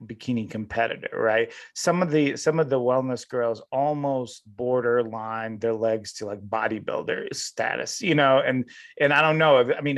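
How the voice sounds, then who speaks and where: A man's voice: medium pitch, fairly bright tone. A man hosting a casual interview, feeling his way through questions and often rephrasing them. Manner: halting, rephrases questions as he goes. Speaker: podcast host, interviewer.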